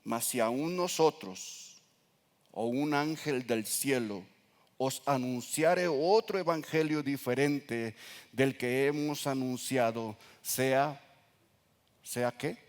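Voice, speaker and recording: A man with a Mexican accent, a pastor preaching earnestly to his congregation and reading scripture slowly with emphasis. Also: medium pitch. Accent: Mexican Spanish. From Nayarit coast, Mexico. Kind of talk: sermon. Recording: reverberant church hall.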